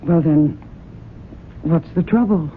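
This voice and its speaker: Anxious, guarded heroine. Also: female voice, low voice, slow. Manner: earnest, defensive, tense. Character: anxious, guarded young woman hiding a secret. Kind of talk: old-time radio drama acting. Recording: narrow tinny old radio recording, faint hiss.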